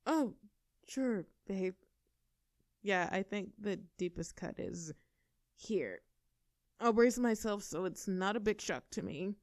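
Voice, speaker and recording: A woman's voice: medium pitch, expressive, slow pace, long pauses, no echo, studio quality. A woman voicing a tough, brash young girlfriend in a scripted audio roleplay. She talks casually and cockily, swears freely and laughs between lines. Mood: cocky.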